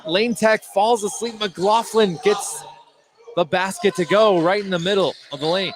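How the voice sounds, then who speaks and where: Guy doing basketball play by play, voice a bit high, some gym noise behind him. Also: unhurried. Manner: rises to shouts on big plays. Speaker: play-by-play announcer. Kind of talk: live basketball radio commentary. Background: basketball game in a gym, bouncing balls.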